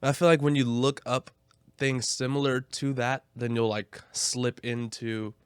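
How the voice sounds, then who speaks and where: A man's voice: medium pitch, level tone, unhurried, clean tone, no echo, clean studio sound. A young man chatting casually as a podcast co-host, telling stories in a slangy, joking way. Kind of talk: casual comedic podcast chat.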